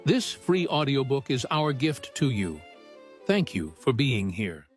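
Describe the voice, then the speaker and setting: A man making a calm interlude announcement for an audiobook channel in a soothing, gentle delivery. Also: mid-range voice, measured pace. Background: soft violin music.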